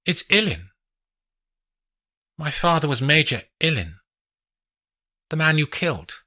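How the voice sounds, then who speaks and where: A man voicing a calm, composed young woman with a faint foreign lilt, reading a thriller audiobook. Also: on the high side, slow, voice pushed up, exaggerated voice. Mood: cool. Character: calm young woman, a cool former assassin. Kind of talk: audiobook character voice.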